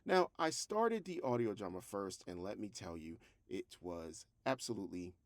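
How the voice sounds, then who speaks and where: Low-voiced man in a casual vlog. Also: big pitch swings, moderate pace, no room sound, clean studio sound. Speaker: host, fiction podcast creator. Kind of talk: casual vlog talk to camera.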